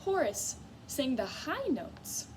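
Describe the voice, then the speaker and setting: A woman teacher giving a read-aloud lesson to young children in an expressive, encouraging way, often putting questions to her listeners. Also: sits high, slow pace, noisy background. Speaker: music teacher. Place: school classroom, in a reading corner.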